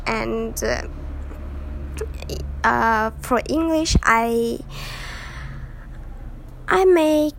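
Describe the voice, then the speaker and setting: Slow-talking young Kazakh woman podcaster. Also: on the high side, a bit bright, low-quality recording. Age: 20s. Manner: halting, searching for words with many fillers. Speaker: podcast host. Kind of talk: casual solo podcast.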